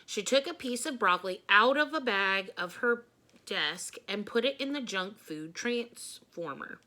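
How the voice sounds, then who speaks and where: Lady reading a kids book out loud, really bright clear-cut voice, teachery and expressive. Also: mid-range voice, measured pace. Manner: expressive, emphatic storytelling. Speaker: teacher reading aloud to children. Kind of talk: children's storybook read-aloud.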